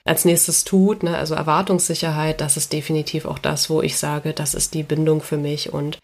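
A woman with a cold and a stuffy nose, hosting a casual podcast chat as a dog trainer, relaxed and chatty, laughing easily. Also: deep voice, normal speed, gravelly.

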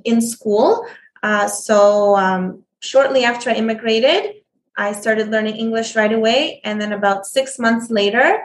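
A woman guest telling her story in an interview, speaking haltingly with many fillers and restarts. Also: medium pitch, fairly bright tone, echoey room. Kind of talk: conversational interview.

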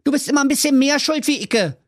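Quarrelsome fairy-tale villagers, shrill and bickering. The voice sits very high and yelps, recorded dry. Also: male voice, animated, fast, no room sound. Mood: squabbling. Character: quarrelsome, squabbling village folk.

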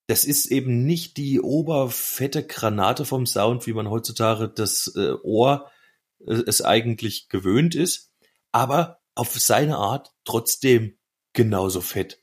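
A man's voice: mid-range voice, slow, slightly rough. A man co-hosting a casual music podcast, explaining things in colloquial language. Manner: colloquial, explanatory, with tag questions. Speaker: podcast co-host.

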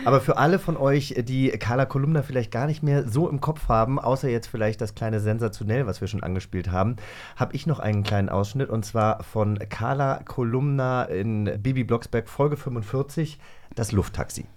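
A man's voice: mid-range voice, moderate pace, darkish tone. A man co-hosting a podcast in casual, playful chat, effusive and teasing. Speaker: podcast co-host.

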